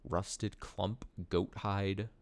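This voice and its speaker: Deep-voiced man casually streaming a game. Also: very slow, no echo. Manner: chatty, excitable, reads item text aloud. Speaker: streamer playing a video game. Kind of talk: casual gameplay commentary.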